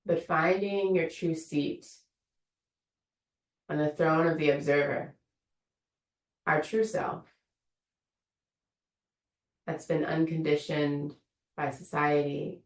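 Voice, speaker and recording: A woman teacher leading a guided meditation in a slow, soothing, invitational way. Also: deep voice, steady tone, reverberant, distant mic. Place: room of an online yoga studio.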